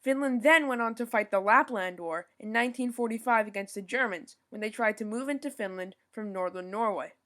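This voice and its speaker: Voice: medium pitch, brisk, hardly any pauses, a bit bright. A young podcast host giving a scripted informational rundown, now and then stumbling over foreign names and apologizing.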